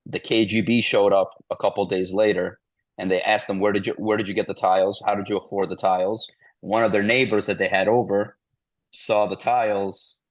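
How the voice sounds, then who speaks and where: Man in his forties, very low voice, monotone, dry close sound, rambling interview storytelling. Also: no echo, poor audio. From Ukraine. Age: 40s. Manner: meandering, restarts, repeats "the bottom line is". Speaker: interview guest.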